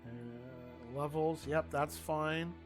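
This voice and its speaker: A man streaming a video game, talking in a loud, rambling, chatty way to his viewers. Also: on the high side, steady tone, drawn-out words, faint music behind. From Italian family. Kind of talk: casual gaming livestream chat.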